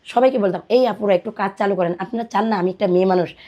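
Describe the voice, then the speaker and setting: A woman's voice: mid-range voice, fast talker, dark tone, highs cut off. A young Bangladeshi woman in her twenties, a podcast guest telling her own life story in conversation and retelling what people said to her. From Dinajpur, Bangladesh. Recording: music under the voice. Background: background music.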